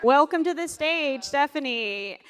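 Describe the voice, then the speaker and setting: A woman's voice: high voice, expressive, measured pace, fairly bright tone, some chatter behind. A woman moderating a live fan convention panel, introducing the guests in an upbeat, announcer-like way.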